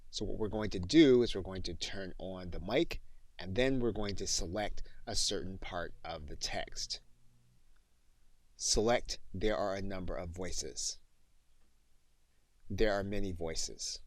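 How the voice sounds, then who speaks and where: A man giving an instructional software demo, explaining methodically step by step as the instructor. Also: deep voice, measured pace, dry recording. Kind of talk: instructional screen-demo narration with spoken dictation commands.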